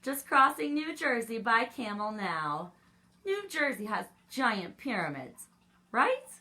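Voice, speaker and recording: A woman's voice: on the high side, very animated, slow, sharp tone, full character voice. A woman voicing a cheerful, clueless globe-trotting crayon in a children's storybook reading. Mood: cheerful, unsure.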